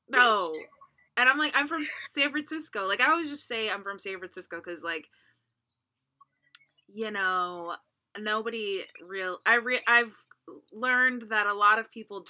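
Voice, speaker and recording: Bright bubbly Californian woman host. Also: mid-range voice. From California, USA. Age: young adult. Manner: bubbly, laughs often, fillers of "like". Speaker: podcast host. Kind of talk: casual chat.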